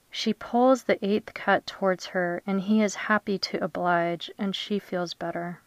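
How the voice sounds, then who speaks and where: A woman poet reading her verse aloud in a measured, deliberate way with dramatic pauses. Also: lowish voice, dry recording.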